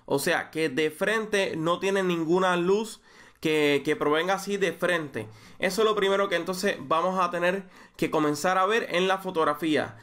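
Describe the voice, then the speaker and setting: A young man with a Puerto Rican accent explaining a photo-editing tutorial, measured and full of small fillers and restarts. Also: high-pitched, a bit bright. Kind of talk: screen-recorded software tutorial.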